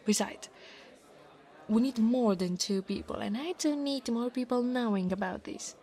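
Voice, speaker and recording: A woman voicing an insecure young dragon girl in an ASMR roleplay, haughty and defensive, stammering when flustered and often dropping toward a breathy whisper. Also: on the high side, measured pace, mellow, close to an everyday voice. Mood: stern, proud. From Italy. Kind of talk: scripted ASMR monster roleplay, acting one side of a conversation.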